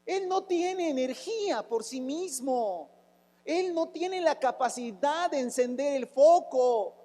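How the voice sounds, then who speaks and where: High-pitched raspy preacher man. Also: expressive, slow, dark tone, big-room sound. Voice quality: raspy, rough voice. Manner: fervent preaching with rhetorical questions and sudden exclamations. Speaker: pastor. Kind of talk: sermon.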